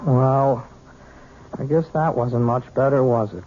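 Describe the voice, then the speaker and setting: An actor playing a moody, self-deprecating, lovesick young piano student in a radio drama, halting and earnest. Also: male voice, mid-range voice, warm tone, highs cut off, close to an everyday voice. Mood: sheepish. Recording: thin, band-limited old radio recording.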